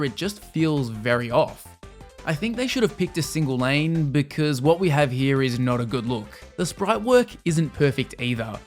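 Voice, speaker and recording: A man with a slightly high voice narrates a scripted video game review. He explains things in a measured way and gives his opinions plainly. Music plays faintly under his voice.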